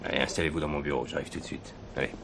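Deep-voiced, authoritative police commissioner. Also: male voice, little pitch movement, rapid-fire, vocal fry, noisy background. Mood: measured. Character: calm, authoritative police commissioner, a seasoned male boss. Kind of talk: French radio crime drama acting.

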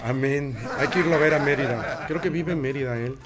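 A man with a Mexican Spanish accent hosting a live night show, chatting and teasing his co-hosts in quick banter. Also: mid-range voice, a loud crowd. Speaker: host. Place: small decorated studio with colored lights. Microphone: desk microphone on a boom arm.

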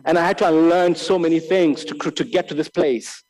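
A man in his thirties from Lesotho giving a filmmaking master class, speaking reflectively and haltingly, repeating words and restarting often. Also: on the high side, a little mellow, rough recording. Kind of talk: reflective spoken lecture. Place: large bright hall with tall windows. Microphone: desk microphone on a stand.

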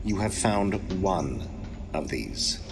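A man's voice: low voice, big pitch swings, slow pace, vocal fry, heavy background noise, full character voice. An actor playing a stern, commanding old king and father, solemn, in a fantasy audio drama.

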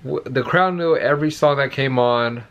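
A hoarse young man in his twenties rambles excitedly to his phone, held at arm's length, and keeps repeating himself. His voice sits at an ordinary middle pitch, worn rough from yelling, and the talk stays loose and chatty throughout. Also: moderate pace, lo-fi sound. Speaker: vlogger. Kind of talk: casual vlog talk to camera. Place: bedroom at home, then walking on a suburban street outdoors.

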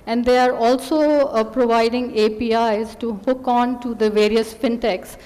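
A middle-aged woman speaking as a panelist at a conference, measured and full of filler pauses, into a stand microphone. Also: a little high, level tone, darkish tone. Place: large hotel conference hall. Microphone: table stand mic. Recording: long hall reverb.

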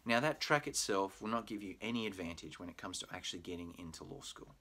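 A man's voice: deep voice, expressive, fast, a bit bright. A middle-aged man with an Australian accent, a university program manager giving a recorded admissions talk in a friendly, explanatory way with frequent fillers, speaking to a webcam in a room at home. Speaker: pre-law program manager. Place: home room with bookshelf, indoors.

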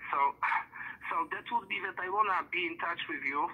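A man from Poland, Polish native, speaking English with a Polish accent on a phone call, excited and rambling with many fillers. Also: high-pitched, a little fast, husky. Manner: excited, rambling, heavy use of "you know". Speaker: show host calling in. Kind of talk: casual phone chat.

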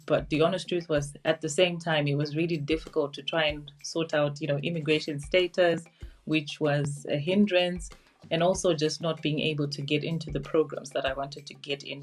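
A woman with a Zimbabwean accent tells her own story informally to camera. Her pitch stays level, with little rise or fall, and she speaks in a reflective, candid way. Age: 40s. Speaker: interview guest, social worker. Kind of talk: informal personal account to camera. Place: small curtained room at home, indoors.

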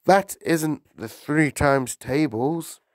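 Man doing a high, flustered little schoolboy. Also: takes it slowly, no echo, clean studio sound. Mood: panicked pleading. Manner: stammering, pleading, flustered. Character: flustered, frightened stammering schoolboy.

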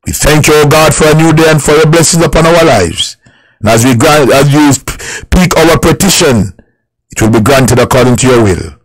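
A man's voice: a little high, animated, moderate pace, no room sound, low-quality recording. A man leading fervent Pentecostal prayer in short, repeated, declaimed petitions.

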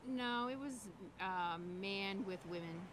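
A woman's voice: medium pitch, slow pace, fairly bright tone, slight background noise. A middle-aged woman giving short, shy answers into a handheld mic on a city sidewalk at night. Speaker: passerby interviewee. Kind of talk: casual chat.

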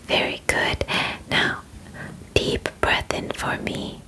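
A young woman in a soft, breathy whisper, speaking calmly and clinically in an ASMR examination roleplay, close to the microphone. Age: young adult. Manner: slow, calm, clinical, formal phrasing. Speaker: ASMR performer. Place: small bedroom, indoors. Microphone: close microphone, out of frame.

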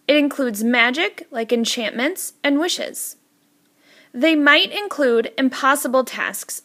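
A woman teacher reading a scripted educational narration in a clearly enunciated, instructional manner. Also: a little high, bright, forceful tone.

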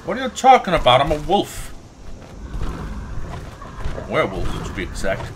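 A man's voice: medium pitch, huge pitch swings, moderate pace. Man doing noisy game commentary. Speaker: let's play host. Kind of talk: casual gameplay commentary. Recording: noisy, game ambience behind. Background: video game sounds.

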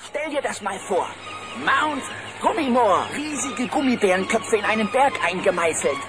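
Kindly cartoon bear female actor, reassuring and enthusiastic, audio play for children, clear music bed. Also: a little high, expressive, moderate pace, natural voice. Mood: encouraging.

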